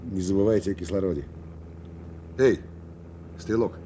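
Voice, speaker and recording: A low, dark-toned male bomber commander played by an actor, clipped and stern with dry irony. He speaks as in a Soviet radio war drama, over a noisy old recording with an engine drone. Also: normal speed, lightly acted. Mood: dry, controlled. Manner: clipped, authoritative, dryly ironic.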